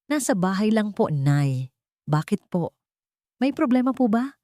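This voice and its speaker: A woman with a Filipino accent voicing a wronged wife, calm and firm, in a romance story. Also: mid-range voice, unhurried, no echo, studio quality, pitched above the performer's own voice. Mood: concerned. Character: wronged wife, quietly firm, cold and composed.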